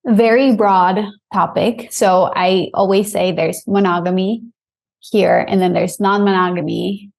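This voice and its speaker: A woman's voice: medium pitch, steady tone, measured pace, gravelly. A woman from Costa Rica, a sexologist, explaining her work casually and upbeat in an interview. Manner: upbeat, laughs easily, explains plainly. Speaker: sexologist guest.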